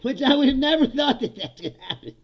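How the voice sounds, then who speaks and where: A man's voice: extremely high pitch, animated, fast, lo-fi sound. A middle-aged man from Indiana chatting casually on a livestream, laughing often and slipping into mock voices.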